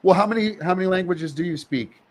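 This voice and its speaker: A man's voice: high voice, quick pace. A man hosting a casual interview, playful and joking as he asks questions. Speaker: podcast host.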